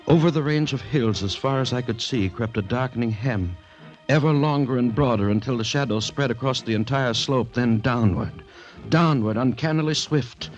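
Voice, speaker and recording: A man acting a sober, alarmed witness to a deadly menace, narrating gravely and deliberately in 1940s American radio drama style. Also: medium pitch, lo-fi sound, close to an everyday voice. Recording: old radio recording with music.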